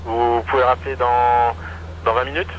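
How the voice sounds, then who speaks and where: A man working as an air traffic controller, giving instructions over aviation radio with hesitant, halting phrasing. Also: mid-range voice, level tone, highs cut off. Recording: noisy aviation radio with aircraft drone.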